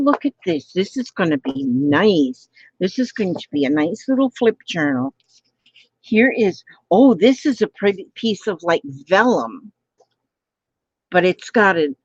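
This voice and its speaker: A woman hosting a live crafting stream, talking softly with her viewers and gasping over pretty papers. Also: mid-range voice, breathy edge, no echo, lo-fi sound. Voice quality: gentle voice. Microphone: phone.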